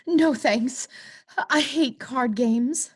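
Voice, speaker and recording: A woman's voice: high-pitched, extremely slow, slightly rough, exaggerated voice. An actress playing a prim, earnest young woman in a comic noir play, speaking precisely and insistently like a schoolteacher. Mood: tearful, polite. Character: prim, earnest young woman client, a former teacher turned lawyer.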